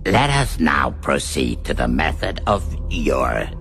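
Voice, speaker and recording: An actor playing a cold, grandiose, sadistic villain in a radio drama, formal and deliberate, with menacing politeness and a gravelly voice. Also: male voice, extremely low pitch, big pitch swings, fairly bright tone, soft background music, full character voice. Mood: menacing relish. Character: cold, sadistic, grandiose male villain toying with his captive. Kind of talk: theatrical radio drama acting.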